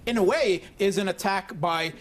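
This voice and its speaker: A male analyst speaks in a somewhat high voice for a man. He offers political commentary as a guest on a live discussion program. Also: normal speed.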